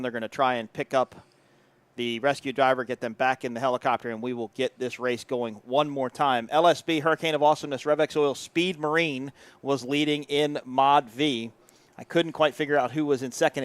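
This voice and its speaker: Fast-talking male race commentator. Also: mid-range voice, no echo. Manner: upbeat, rapid play-by-play delivery. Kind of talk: live sports commentary. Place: indoor broadcast booth.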